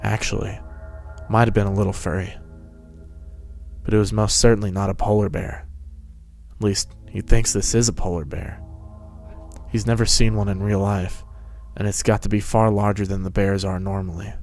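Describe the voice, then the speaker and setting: A man's voice: lowish voice, little pitch movement, normal speed, long pauses, darkish tone, soft background music. A man narrating a fan-fiction story as a scripted dramatic reading.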